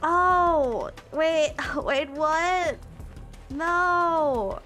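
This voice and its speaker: A woman's voice: sits high, very slow, bright, forceful tone, soft background music. A young woman streaming, chatting casually while she plays, repeating words and filling gaps with ums and likes. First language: German. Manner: rambling, repeats words, many fillers.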